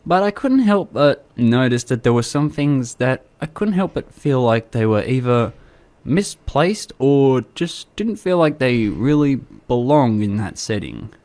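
A man with an Australian accent co-hosting a radio chat show, giving his take on a film in measured, slightly formal phrasing. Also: medium pitch, big pitch swings, darkish tone. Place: community radio studio.

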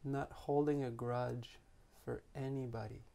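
A man leading a guided meditation as its teacher, speaking gently and unhurriedly with long pauses between phrases. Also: mid-range voice, fairly even intonation, creaky.

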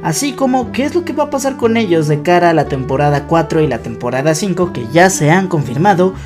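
A man's voice: on the high side, animated, a little fast. A young adult man giving fan commentary as a YouTuber, talking directly to his viewers. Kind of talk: scripted-feeling fan commentary to camera. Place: small bedroom, indoors. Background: background music.

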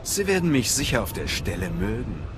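A man's voice: fairly low pitch, very animated, fairly quick, background noise. A man playing a cool, confident Sith inquisitor in a German game dub, terse and self-assured. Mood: wary, confident.

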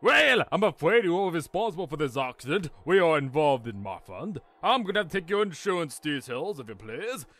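A man's voice: on the high side, big pitch swings, a little fast, hardly any pauses, fairly bright tone, no echo, pitched above the performer's own voice. A man voicing an indignant time-traveling stranger with an English accent and formal, affronted phrasing. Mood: sternly polite.

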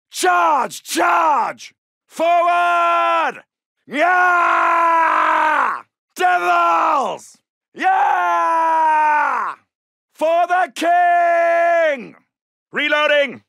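High, forceful British wartime soldier. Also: male voice, vocal fry, no room sound, studio quality, full character voice. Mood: fierce rallying, then alert, tense. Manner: clipped, commanding, politely formal. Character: brisk, gallant British Second World War soldier. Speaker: video game character voice actor. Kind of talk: military game battle barks.

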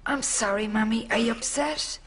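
A woman's voice: a little high, normal speed, lightly acted. A middle-aged woman with an Irish accent playing a caring, placating daughter in a sitcom. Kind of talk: sitcom acting. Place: living-room studio set. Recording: studio audience noise behind.

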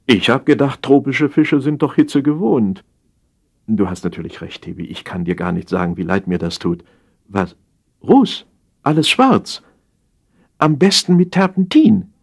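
A man's voice: mid-range voice, very animated, a little slow, gravelly, breathy edge, heavily acted. A man playing a whiny, defensive party guest on a call to his friend, plaintive and full of exclamations in a comic radio play. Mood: sheepish.

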